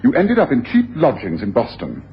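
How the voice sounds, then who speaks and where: A man's voice: medium pitch, huge pitch swings, fairly quick. A self-assured detective with a theatrical, domineering delivery. His voice swings widely as he makes his points, in a dull, noisy old radio broadcast. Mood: accusing. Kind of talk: 1980s radio drama acting.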